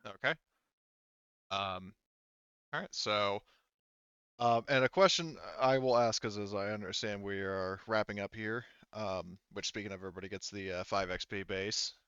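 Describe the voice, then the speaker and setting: A man's voice: fairly low pitch, fairly even intonation, normal speed, pushed and bright, smooth, no room sound. A man running a tabletop game as game master, narrating and chatting casually through slightly dull audio.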